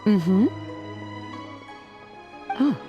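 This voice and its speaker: A woman's voice: mid-range voice, lively intonation, very slow, dull audio. A woman voicing a stoic, polite young disciple working as a waitress in a video game, reserved and matter-of-fact. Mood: calm, reserved. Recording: game music clearly behind the voice.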